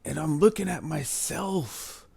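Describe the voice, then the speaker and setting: A man's voice: on the high side, animated, takes it slowly, creaky, darkish tone, slightly rough. A man in his twenties talking casually and candidly, giving life advice and often adding "man". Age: 20s. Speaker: advice channel host.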